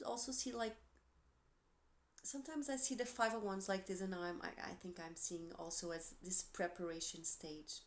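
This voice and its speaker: A woman with a Portuguese accent, gentle and encouraging, giving a tarot reading with soft asides and self-corrections. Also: lowish voice, a little hiss or hum. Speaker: tarot reader.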